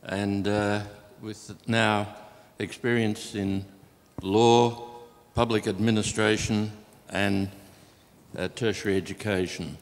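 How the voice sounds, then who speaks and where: A man's voice: fairly low pitch, flat delivery, takes it slowly. A man in his seventies introducing himself in clipped, terse phrases, away from the mic. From Australia. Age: 70s. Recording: distant from mic in echoey hall.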